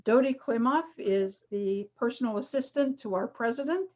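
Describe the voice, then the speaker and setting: A woman's voice: on the high side, normal speed, a little mellow. Woman, middle-aged, teacher, casual, explanatory, fillers, dull lo-fi sound. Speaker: teacher presenting a reading demonstration. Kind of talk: casual online lecture. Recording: dull lo-fi video call audio.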